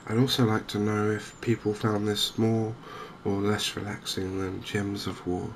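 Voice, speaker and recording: A man giving softly spoken ASMR commentary on a game, hushed, hesitant and unhurried, close to the microphone. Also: on the low side, little pitch movement. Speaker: gaming video host. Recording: clear background noise.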